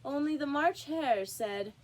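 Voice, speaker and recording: A woman's voice: high voice, expressive, a little slow, pitched above the performer's own voice. A woman voicing a miserable, nervous poor hatter in a storybook trial, anxious and pleading.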